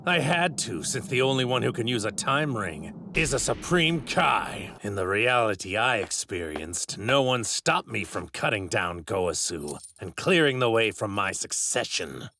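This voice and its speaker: Pompous, gravelly anime villain. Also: male voice, fairly low pitch, sing-song, measured pace. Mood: gloating, grandiose. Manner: pompous, grandiose, taunting, savoring words. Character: arrogant divine villain in a stolen warrior body. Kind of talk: theatrical anime dub villain acting. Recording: ripped voice track with clear background noise.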